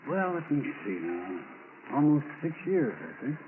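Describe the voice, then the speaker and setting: A man's voice: fairly high pitch, very animated, measured pace. An actor playing an affable, evasive salesman with an over-polite folksy manner and nervous chuckles, in 1940s radio style. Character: affable evasive salesman hiding a criminal past. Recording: noisy tinny 1940s radio recording.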